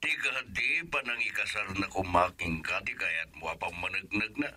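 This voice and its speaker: A man's voice: low-pitched, normal speed, bright, forceful tone, full character voice. A man acting a troubled older father in an Ilocano radio drama, with an Ilocano accent. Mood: concerned. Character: older father, troubled and questioning his daughter.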